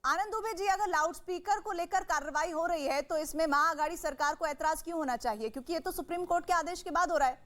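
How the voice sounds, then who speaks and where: A woman's voice: very high voice, quick pace, hardly any pauses, fairly bright tone. A woman anchoring a live TV news debate, questioning and cutting in insistently.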